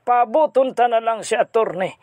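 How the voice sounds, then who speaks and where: A man's voice: very high voice, moderate pace, darkish tone, no room sound. A man with a Hiligaynon Filipino accent playing a frightened, pleading, stammering man in a suspense audio drama. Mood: panicked, pleading. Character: frightened man dreading a pursuer.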